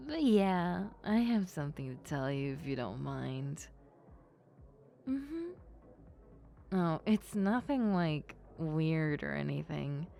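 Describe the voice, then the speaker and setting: A woman acts out a popular schoolgirl who has had a few drinks, in an intimate roleplay. Her voice sits low, and she drags her words out very slowly. She is coy and teasing, hesitant and prone to giggles. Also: big pitch swings, vocal fry, dark tone, full character voice. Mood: tipsy, embarrassed. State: acting drunk. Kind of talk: intimate roleplay monologue to the listener.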